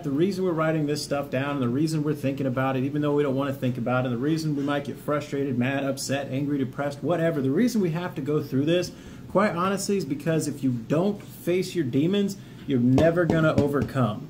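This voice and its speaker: Plainspoken man over clear background noise. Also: fairly high pitch, a little mellow. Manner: plainspoken, informal, encouraging. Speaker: veteran hosting a self-help video series. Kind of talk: instructional talk to camera, reading from notes.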